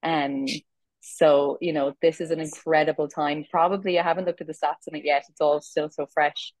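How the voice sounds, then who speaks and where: A hoarse Irish woman in her thirties, a former sprinter, giving earnest, rambling analysis on a sports podcast. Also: deep voice, level tone, moderate pace, darkish tone, no room sound, low-quality recording.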